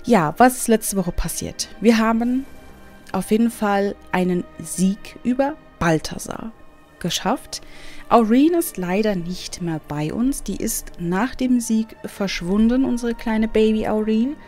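A woman's voice: fairly high pitch, a little slow, warm tone. Slightly congested woman, music behind her. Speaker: let's play host. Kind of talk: casual gameplay commentary. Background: background game music.

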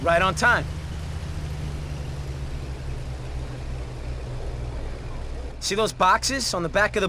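An actor playing a cocky, wisecracking small-time criminal in a video game, joking, profane and streetwise. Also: male voice, sits high, bright, forceful tone. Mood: businesslike. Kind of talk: video game dialogue, casual banter. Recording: noisy car and street sounds behind.

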